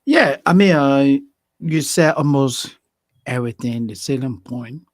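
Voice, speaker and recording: A man's voice: medium pitch, expressive, unhurried, no echo, studio quality. A man co-hosting a current affairs talk show, often agreeing and chuckling. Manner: agreeing, frequent yeahs and laughs. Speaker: co-host.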